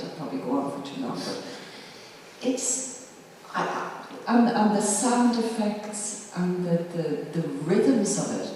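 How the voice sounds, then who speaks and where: A person giving a spontaneous response at a discussion, halting and searching for words. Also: mid-range voice, darkish tone. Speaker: discussion participant. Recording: very echoey hall, distant mic, faint noise.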